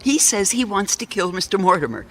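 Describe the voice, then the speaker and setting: A woman's voice: medium pitch, very animated, fairly quick. A woman playing an earnest, insistent secretary to a detective in a radio mystery. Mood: alarmed, insistent. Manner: urgent, insistent, precise.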